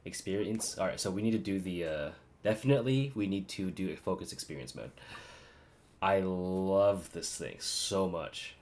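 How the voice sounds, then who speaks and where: A man's voice: low-pitched, moderate pace, a little mellow. A man doing casual live-stream gaming commentary, muttering and thinking aloud to himself. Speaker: game streamer.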